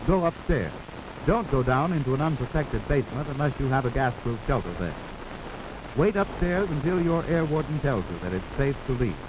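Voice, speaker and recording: An American man narrating a 1940s instructional film in a formal, authoritative, measured way, on an old film soundtrack. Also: mid-range voice, noisy background. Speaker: narrator of a wartime civil defense instructional film.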